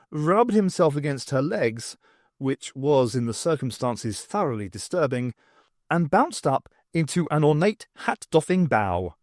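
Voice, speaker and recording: A man narrating fiction as an audiobook reader, in an expressive scripted storytelling style. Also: mid-range voice, no room sound, clean studio sound.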